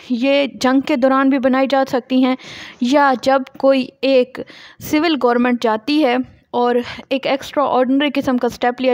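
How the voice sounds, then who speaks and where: A woman's voice: sits high, darkish tone, dry recording, highs cut off. A woman with a Pakistani accent giving a lecture, reading out passages and explaining them in a didactic way, often asking and answering her own questions. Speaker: law lecturer.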